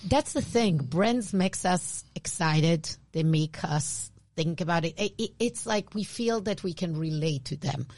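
Brief: lower-voiced Israeli woman, podcast co-host, animated and chatty, dry close sound. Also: measured pace, hardly any pauses, dry recording. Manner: animated, restarts often, laughs easily. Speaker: podcast co-host, branding expert. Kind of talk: casual conversational explanation.

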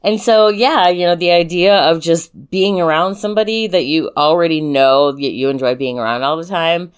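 A woman co-hosting a podcast in casual chat, expressive and quick to laugh, swearing playfully. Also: on the low side, clean tone. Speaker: podcast co-host.

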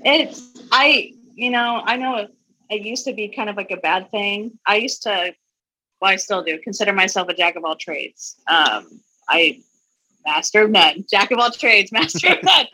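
A woman in her twenties, a singer chatting as a guest, upbeat and quick to laugh. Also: mid-range voice, animated, sharp tone, no room sound, rough recording. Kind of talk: casual conversation.

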